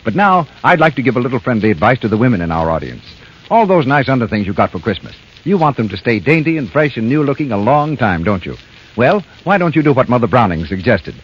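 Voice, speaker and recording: Lively American announcer on dull old radio. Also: male voice, mid-range voice, quick pace, slightly rough. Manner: polished, coaxing salesmanship. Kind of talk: 1930s radio advertising announcing. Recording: dull 1930s radio broadcast with music.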